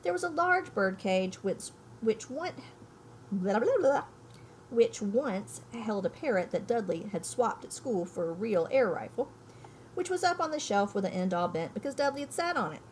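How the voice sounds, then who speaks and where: A woman's voice: on the high side, very animated, normal speed, low-quality recording. Woman teacher reading aloud, faint background noise. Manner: emphatic, thinks aloud, poses questions to listeners. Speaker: elementary school teacher. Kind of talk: read-aloud lesson, storybook reading and explaining.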